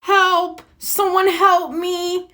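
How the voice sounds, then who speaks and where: A woman's voice: extremely high pitch, little pitch movement, drawn-out words, pushed and bright, pitched above the performer's own voice, heavily acted. A woman voicing a frightened male crime victim in a children's storybook, distressed and exclamatory. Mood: panicked. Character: frightened male robbery victim crying for help. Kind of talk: children's storybook read-aloud.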